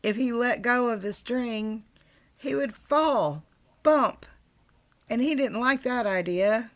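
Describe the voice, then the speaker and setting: Slow-paced woman reading a children's story. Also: a little high. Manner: expressive, addressing young listeners with asides.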